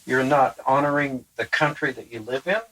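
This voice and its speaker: A man's voice: medium pitch, steady tone, normal speed, fairly bright tone, rough recording. A man in casual conversation, plain-spoken with fillers and asides. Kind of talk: casual chat.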